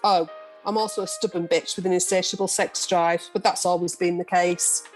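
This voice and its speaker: A woman's voice: lowish voice, steady tone, normal speed, darkish tone, close to an everyday voice, low-quality recording. A woman playing a brash, bawdy middle-aged journalist. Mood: sympathetic, frank. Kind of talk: amateur radio play acting. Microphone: video call. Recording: home video-call recording with music.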